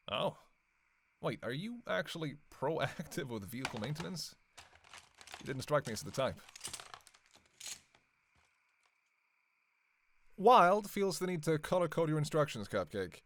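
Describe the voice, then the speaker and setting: A man's voice: fairly high pitch, sing-song, normal speed, pauses often, no echo. A man playing a sarcastic, teasing vampire in a casual roleplay monologue, needling playfully and hesitating as he goes. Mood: teasing, snarky. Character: sarcastic, teasing male vampire on a road trip.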